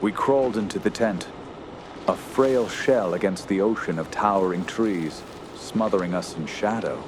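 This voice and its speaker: An actor playing an earnest, fearful gentleman camper who tells a horror tale in solemn, literary radio drama style. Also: low-pitched, normal speed, noisy background, close to an everyday voice. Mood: brooding dread. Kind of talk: radio drama narration and dialogue.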